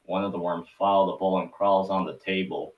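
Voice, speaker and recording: A man's voice: deep voice, steady tone, a little fast, recorded from a distance, dull audio. A man narrating stage directions for a cartoon table read in a scripted storytelling style.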